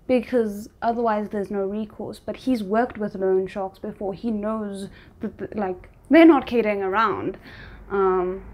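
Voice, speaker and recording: A woman's voice: mid-range voice, a little mellow. A woman hosting a reaction video, giving casual commentary and thinking aloud as she goes.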